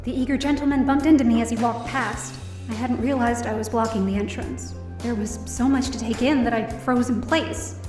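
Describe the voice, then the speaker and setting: A woman's voice: fairly high pitch, fairly even intonation, moderate pace, few pauses, echoey room, far from the mic, music in the background, close to an everyday voice. A woman playing a down-on-her-luck, distressed young woman narrating her own story in an audio drama. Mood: weary, reflective. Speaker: lead actor in audio drama. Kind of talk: first-person dramatic narration.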